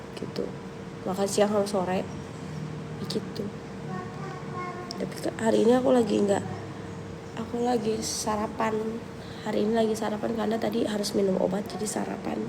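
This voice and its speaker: A young woman, slightly under the weather with a cold, talks casually to her viewers. Her voice has a gravelly, creaky edge. The livestream audio carries clear background noise. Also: medium pitch. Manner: chatty, relaxed, thinks aloud with fillers. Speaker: livestream host answering viewers. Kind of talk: casual live chat reading viewer questions.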